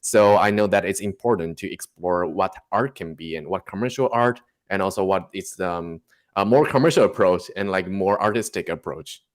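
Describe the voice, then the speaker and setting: Low-voiced Taiwanese man, halting speech. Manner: halting, searching for words with repeated restarts. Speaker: interviewed generative artist. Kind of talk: casual podcast interview.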